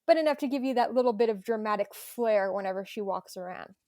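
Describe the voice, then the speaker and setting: A woman's voice: a little high, fast, darkish tone, no echo, studio quality. A woman talking casually and playfully in a behind-the-scenes interview as a cast member.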